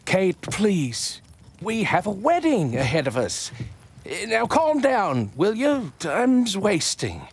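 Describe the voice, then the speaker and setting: A man's voice: high-pitched, wildly swooping pitch, takes it slowly, gravelly, slightly rough, heavily acted. A man acting in a video game as a smug, scheming groom, hesitant and bullying, cruel and domineering. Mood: angry, domineering.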